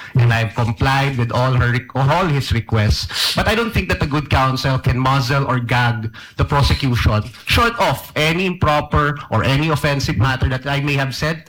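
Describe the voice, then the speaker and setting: A man leading a prosecution's questioning in a courtroom, his tone bright and forward. He speaks politely and measuredly, mixing English and Filipino with frequent honorifics, and he is not a native Tagalog speaker. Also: medium pitch, moderate pace, rough recording.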